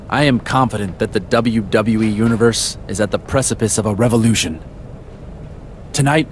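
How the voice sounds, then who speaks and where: An actor playing a confident wrestler who talks like a visionary. His mid-pitched voice delivers grand, measured pronouncements in a game cutscene, over faint background noise. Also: lightly acted. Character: self-assured male wrestler with lofty, philosophical airs. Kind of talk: video game interview cutscene acting.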